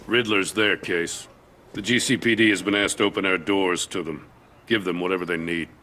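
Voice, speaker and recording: A man playing a proud, gruff older police commissioner in a video game, with a gravelly, indignant delivery. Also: low voice, steady tone, moderate pace, sharp tone, a little hiss or hum. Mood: resigned. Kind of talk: video game dramatic acting.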